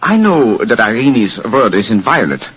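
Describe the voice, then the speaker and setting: Low, husky, stately king. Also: very animated, moderate pace. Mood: relieved, grateful. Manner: hesitant, formal, stately. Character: anxious, formal, grateful king, a regal man. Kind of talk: 1940s radio acting. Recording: dull vintage radio recording.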